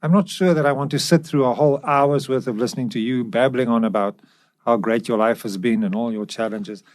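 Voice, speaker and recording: A man's voice: medium pitch, brisk, darkish tone, no room sound, clean studio sound. A man co-hosting a podcast, chatting in a casual, blunt conversational manner. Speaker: podcast co-host and author.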